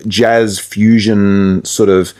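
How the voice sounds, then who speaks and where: A man's voice: on the low side, level tone, drawn-out words, mellow. An Australian man, a radio presenter and musician, chatting casually as an interview guest.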